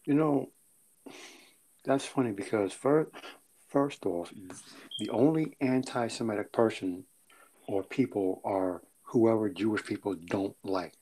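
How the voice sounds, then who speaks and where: Blunt, low-voiced man in political talk. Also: darkish tone, slight background noise, rough recording. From United States. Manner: blunt and dismissive, chuckles between remarks. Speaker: podcast co-host. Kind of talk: casual political discussion.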